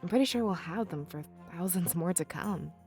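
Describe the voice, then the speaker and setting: Casting: bossy fantasy animal lady, fussy and impatient, on the low side, faint music underneath. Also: lively intonation, moderate pace, darkish tone. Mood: serious. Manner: haughty, impatient, complaining. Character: bossy, fussy, easily disgusted female fantasy animal character. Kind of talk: visual novel voice acting. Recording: music behind.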